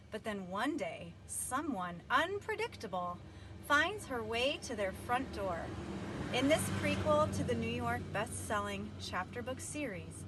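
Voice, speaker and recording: Female children's read-aloud storyteller, very expressive and emphatic, animated intonation, faint background noise. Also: mid-range voice. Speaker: storybook reader.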